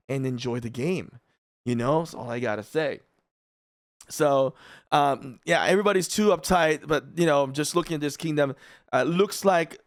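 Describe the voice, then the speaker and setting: A man's voice: a little high, no echo, clean studio sound. A man from the Philippines, whose first language is Filipino, giving casual, rambling commentary on a strategy game as a channel host. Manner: chatty, rambling, laid-back. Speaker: gaming YouTuber. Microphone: studio mic in a dry room.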